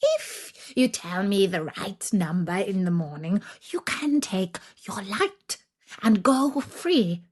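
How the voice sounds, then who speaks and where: A woman's voice: mid-range voice, animated, takes it slowly. A woman voicing a cruel, fierce old witch in a storybook, drawing out threats in a swooping voice. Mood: sly menace.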